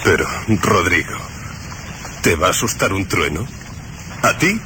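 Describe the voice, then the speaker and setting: Cocky traveller in a radio play: low voice, slow sardonic muttering to himself, clear background noise. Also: male voice, sing-song. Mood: self-reassuring. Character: cocky lone male driver, talking to himself, mocking danger. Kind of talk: radio drama acting.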